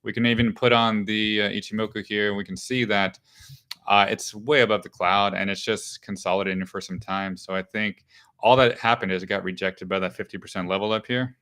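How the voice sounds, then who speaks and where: A man hosting a live market show, talking casually through stock charts with a smooth voice and many fillers and restarts. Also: lowish voice, steady tone, brisk, pushed and bright. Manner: loose, thinking aloud, many fillers and restarts.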